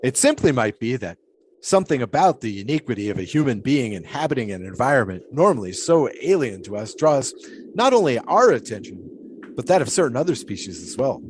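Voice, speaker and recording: A man acting in an audio drama as an eccentric, devout 1920s daredevil philosopher, speaking in florid, unhurried, theatrical musings. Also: medium pitch, sing-song, slight background noise, lightly acted, rough recording. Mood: storytelling, reverent.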